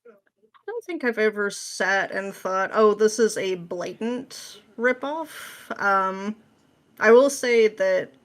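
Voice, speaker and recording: A woman's voice: medium pitch, lively intonation, takes it slowly. A woman chatting casually as an interview guest, with a playful way of talking that slips into acted-out asides. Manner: playful, acts out imagined quotes. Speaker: podcast guest, script writer. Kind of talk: casual chat.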